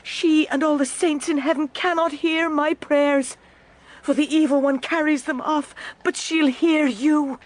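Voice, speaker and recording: An old woman racked by guilt in a radio drama. Her voice is high and drawn out slowly. She begs fervently, gasping as she pleads. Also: fairly even intonation. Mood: desperate pleading. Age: older. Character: anguished guilt-ridden old Irish woman penitent begging for prayer.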